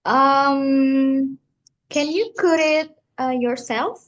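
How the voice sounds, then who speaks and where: A young woman co-hosting a casual podcast, friendly and upbeat but halting, with many uhs as she leads the questions. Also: high voice, lo-fi sound. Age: young adult. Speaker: co-host.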